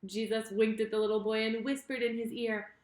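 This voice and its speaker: A woman reading a children's story in an expressive, deliberate way, speaking directly to her young listeners. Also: a little high. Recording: roomy, echoing sound.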